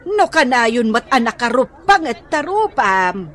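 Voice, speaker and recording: A woman's voice: fairly high pitch, sing-song, unhurried, fairly bright tone, some chatter behind. A woman playing a chatty, candid older neighbour in an Ilocano comedy radio drama, with an Ilocano accent.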